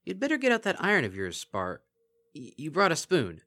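A man's voice: on the high side, huge pitch swings, a little fast, pushed and bright, voice pushed up, exaggerated voice. A man voicing a protective, scrappy young mare who speaks bluntly and sarcastically. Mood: sarcastic.

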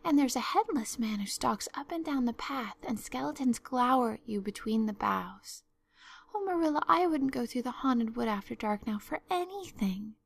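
A woman's voice: a little high, expressive, moderate pace, creaky, pitched above the performer's own voice. A woman voicing an imaginative, earnest young girl in a storybook, talking in an effusive, theatrical, pleading way. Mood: fearful, dramatic. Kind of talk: storybook narration.

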